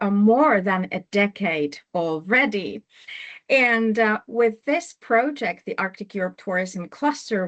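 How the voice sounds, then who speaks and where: Slow-talking Finnish woman hosting a webinar. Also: medium pitch, a bit bright. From Finland. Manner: unhurried, with frequent "uh" fillers. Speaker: webinar host, project manager. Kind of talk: webinar introduction.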